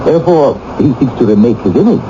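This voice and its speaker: An actor playing a composed, shrewd English lord in a radio drama, with a formal, emphatic manner. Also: male voice, mid-range voice, animated, fast talker, natural voice. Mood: confident, persuasive. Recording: dull, noisy old radio broadcast.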